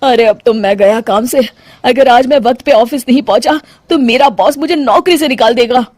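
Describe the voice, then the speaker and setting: A woman's voice: on the high side, moderate pace, darkish tone, no echo, close to an everyday voice. A woman voicing a harried, submissive young husband in a cartoon story, flustered and complaining in a theatrical way. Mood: dismayed. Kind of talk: Hindi cartoon moral story acting.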